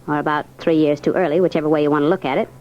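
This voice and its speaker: An actress playing a brassy, slangy American night club hostess, tough but flirtatious, in a 1940s radio crime drama. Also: female voice, sits low, steady tone, rapid-fire, a little mellow, lightly acted. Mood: sardonic. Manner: sassy, slangy, world-weary wisecracks. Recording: muffled old radio recording.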